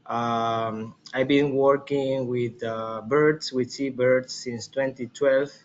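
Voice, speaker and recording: A man from Ecuador with an Ecuadorian Spanish accent, giving an informal slide presentation as an expedition guide, haltingly, often checking in with listeners. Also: medium pitch, fairly even intonation. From Galapagos Islands, Ecuador. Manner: halting, with frequent "right?" check-ins. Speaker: expedition leader and biologist presenting. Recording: dull webinar audio, faint noise.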